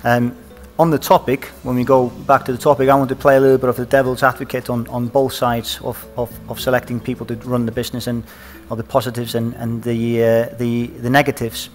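Panelist from Iceland, monotone, halting speech with restarts, headset mic on a conference stage, music faintly behind. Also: male voice, mid-range voice. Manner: hesitant, many fillers and restarts. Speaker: panelist, former footballer.

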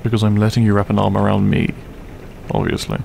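Gravelly, grumpy-soft half-dragon roommate, played by a man. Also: on the low side, level tone, fast talker, a little hiss or hum. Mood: tender, sleepy. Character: grumpy but tender young male half-dragon roommate. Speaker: roleplay voice actor. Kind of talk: intimate ASMR audio roleplay, comfort scene.

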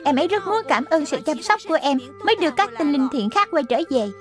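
Tiny lion-spirit mentor, very high childlike voice, earnest teaching manner, children's cartoon. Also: female voice, animated, fast talker, soft background music. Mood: patient teaching. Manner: didactic, explanatory.

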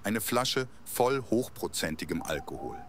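A man narrating a scripted audio drama in a measured storybook delivery. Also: fairly low pitch, lively intonation, noisy background.

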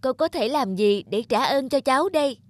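A woman's voice: high-pitched, lively intonation, moderate pace, dry recording. A woman voicing a kindly, grateful grown female rabbit in a children's cartoon. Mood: grateful, regretful. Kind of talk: children's cartoon storytelling dub.

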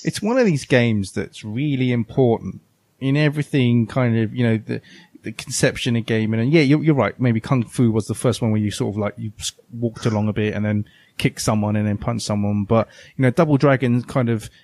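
A man's voice: medium pitch, brisk, darkish tone, no echo. A man co-hosting a podcast, chatting casually and giving opinions with emphasis. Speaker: podcast co-host. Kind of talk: casual retro gaming chat.

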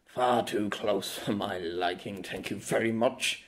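A man voicing a weary, grumpy gentleman with a dry, curt, exasperated manner. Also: lowish voice, a little slow, pitched below the performer's own voice. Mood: dry exasperation. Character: grumpy, weary well-off older man from fantasy world.

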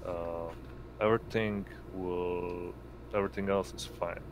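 A man running an online tabletop roleplaying game as game master, narrating in a halting way with pauses. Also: low-pitched, steady tone, noisy background. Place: indoors on an online voice call.

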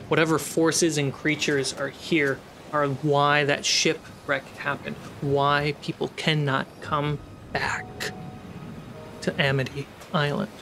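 Male game master, measured pace, narrating tabletop fantasy play over clear ambient sound. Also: a little high, fairly bright tone, slight background noise. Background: ambient sound effects.

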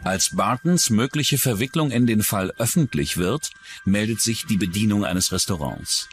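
Low-pitched male true-crime narrator, lively intonation. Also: normal speed, faint music behind. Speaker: documentary narrator.

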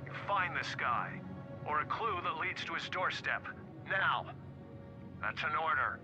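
An actor playing a stern, authoritative police captain reprimanding someone over the phone in a video game. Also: male voice, a little high, expressive, unhurried, highs cut off, over music. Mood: scolding, angry. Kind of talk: dramatic game voice acting.

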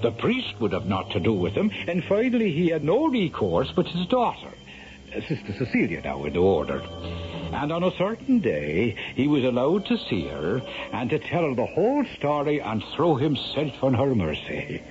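Lively, blustering Irish rogue. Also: male voice, medium pitch, normal speed, faint music behind. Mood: sly, storytelling. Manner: blustering, stammering, wheedling. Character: sly, garrulous Irish storyteller and anxious old rogue father. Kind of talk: 1970s radio drama acting. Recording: dull, noisy 1970s radio broadcast.

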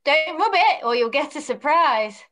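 A naive, eager young pantomime lad, played by a woman. The voice is high, with wide-eyed, stagey exclamations in an amateur read-through. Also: lively intonation, normal speed, fairly bright tone. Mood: excited. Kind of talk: amateur pantomime script reading. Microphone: computer mic on video call.

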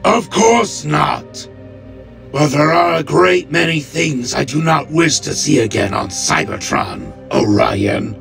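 Cold robot warlord, gravelly and slow, a man plays him, measured delivery, mic set a bit off. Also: on the high side, expressive, a bit bright, distant mic, soft background music, heavily acted. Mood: defiant. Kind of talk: fan comic dub.

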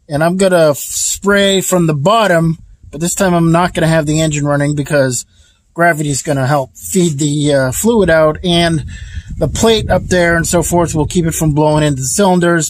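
Plainspoken man walking through a car repair. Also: on the high side. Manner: rambling, plainspoken, frequent uh fillers. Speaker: DIY car repair vlogger. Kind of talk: casual hands-on repair walkthrough. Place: outdoors at a car engine bay.

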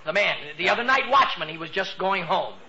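An American man playing an excitable teenage boy in a 1940s radio comedy, telling his story with breathless mock drama. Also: sits high, a little fast. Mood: earnest. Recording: noisy, dull 1940s radio recording.

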